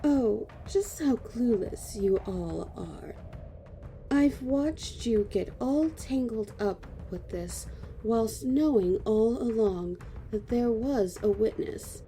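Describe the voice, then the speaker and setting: Woman playing a slow, bright, knowing elf. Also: a little high, animated, slight background noise. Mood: smugly amused. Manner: knowing, teasing, measured. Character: sly, amused, composed female elf royal. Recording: faint background ambience.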